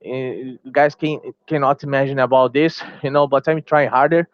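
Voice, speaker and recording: A man's voice: medium pitch, steady tone, moderate pace, highs cut off. A man whose first language is Portuguese, a small gaming YouTuber giving a heated opinion vlog, repeating himself and restarting phrases, sounding tired.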